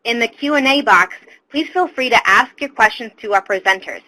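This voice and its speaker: A woman's voice: fairly high pitch, normal speed, pushed and bright, low-quality recording. A woman reads polite, scripted housekeeping notes at the start of a webinar as its moderator.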